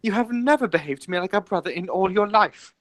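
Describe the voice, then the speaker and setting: An earnest, excitable young gentleman of a period comedy, acted by a woman. The delivery is formal and theatrical, in a middle register with a dry, close radio-play sound. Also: mid-range voice, fast talker, no echo, lightly acted. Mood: elated.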